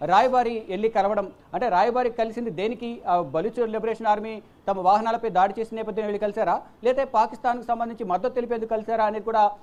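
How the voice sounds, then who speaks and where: A woman presenting unscripted news commentary, repeating phrases and pausing on fillers as she goes. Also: on the high side, fairly even intonation, a little mellow.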